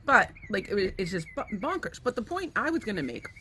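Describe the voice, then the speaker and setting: Lively, laughing woman co-host. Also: medium pitch, brisk, slight background noise. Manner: animated storyteller, laughs often, swears freely. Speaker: podcast co-host. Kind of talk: casual chat.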